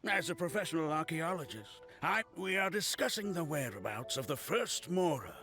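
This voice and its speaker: A pompous video game academic with a highish, very animated voice. He argues in a blustering, theatrical way, with creak in the tone and light music behind him. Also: moderate pace. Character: pompous, excitable male scholar of archaeology, self-important and argumentative. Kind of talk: English game voice acting.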